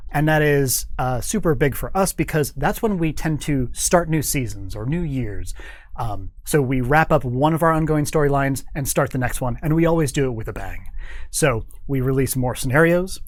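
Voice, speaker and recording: A man's voice: medium pitch, dry recording. A man speaking as an interview guest in a studio, explaining his work in an informal way. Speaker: guest, game developer.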